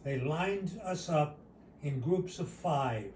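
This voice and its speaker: Unhurried man reading a story, roomy recording. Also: fairly high pitch, animated, darkish tone. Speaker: author reading own short story. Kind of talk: narrative reading aloud of a personal story. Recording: roomy, distant mic, faint noise.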